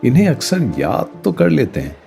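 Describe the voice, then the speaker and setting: A man's voice: mid-range voice, huge pitch swings, moderate pace, gravelly, warm tone, faint music behind. A man playing a thoughtful political science professor in a radio play, explaining things expressively and emphatically. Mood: reflective. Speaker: political science teacher character. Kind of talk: radio play dialogue, Hindi mixed with English.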